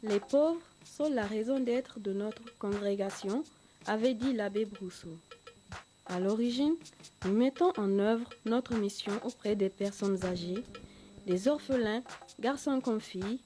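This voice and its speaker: Female narrator, scripted documentary style, soft mellow tone, music underneath. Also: a little high.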